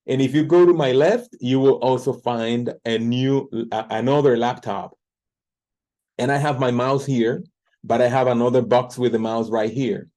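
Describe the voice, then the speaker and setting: A man's voice: medium pitch, fairly even intonation, normal speed, warm tone. A man in his forties with a Dominican Spanish accent, introducing himself earnestly as a language tutor from his home teaching office, speaking into his computer camera. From Dominican Republic. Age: 40s. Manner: earnest, with restarts and fillers.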